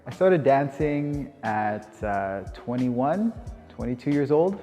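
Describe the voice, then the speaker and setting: A Canadian man in his thirties speaks in a mid-pitched, mellow voice. He talks informally and reflectively, often stopping to rephrase, like someone being interviewed. The recording carries long reverb, with music playing underneath. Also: animated, slow pace. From Winnipeg, Canada. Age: 30s. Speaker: dance teacher.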